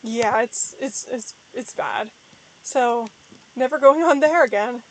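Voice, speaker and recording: A young woman with a hoarse, tired voice, chatting casually and rambling as a streamer answering viewer questions. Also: a little high, slow pace, low-quality recording. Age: young adult. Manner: rambling, self-deprecating, frequent restarts. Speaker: VTuber streamer. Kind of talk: casual livestream chat.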